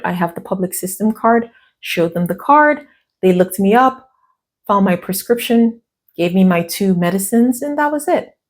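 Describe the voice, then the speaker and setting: An American woman vlogging casually, telling a story from her notes with quoted asides, her voice still worn from a cough. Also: mid-range voice. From United States. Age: middle-aged. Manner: chatty, reading from notes, quoting others in asides. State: recovering from a cough and lost voice.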